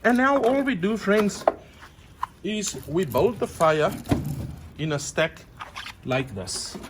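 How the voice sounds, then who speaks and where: A man's voice: high voice, very animated, takes it slowly, background noise. A middle-aged South African man with an Afrikaans accent, chatting outdoors as he shows how to braai, addressing viewers as friends. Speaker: cooking vlogger. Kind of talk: friendly outdoor cooking tutorial.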